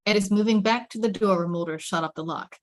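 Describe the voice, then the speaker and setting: A woman's voice: medium pitch, quick pace. A woman narrating the scene and camera directions of a script at a table read. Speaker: stage directions reader.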